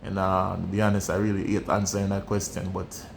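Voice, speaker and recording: A man's voice: sits low, flat delivery, a little fast, vocal fry, slight background noise. A young Jamaican man with a Jamaican accent, talking candidly and bluntly in a casual vlog and often repeating his phrases. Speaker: vlogger. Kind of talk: casual personal storytelling.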